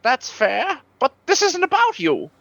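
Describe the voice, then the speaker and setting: Woman as a high-voiced, wheedling con artist. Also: wildly swooping pitch, a little slow, gravelly, a bit bright, no room sound, full character voice. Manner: stammering, wheedling. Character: slippery, pleading, fast-talking male con artist. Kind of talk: comic radio play acting.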